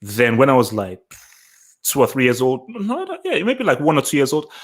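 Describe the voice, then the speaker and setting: A man from Nigeria and England hosting a casual live-stream discussion, phrasing things deliberately and repeating them for emphasis. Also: medium pitch, sing-song. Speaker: podcast host.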